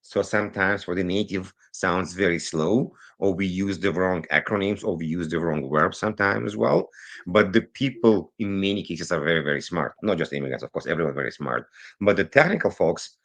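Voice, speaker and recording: Deep-voiced man, non-native English speaker. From Israel. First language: not English. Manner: animated, rushes through some phrases, digressive. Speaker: guest, cybersecurity consultant. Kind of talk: conversational interview storytelling. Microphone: remote recording, virtual call.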